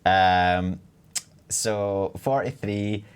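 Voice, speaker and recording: Young scottish man, low-pitched, rambling, streamer reading chat. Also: fairly even intonation, very slow, smooth. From Scotland. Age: 20s. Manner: chatty, rambling, reads chat aloud. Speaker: community manager.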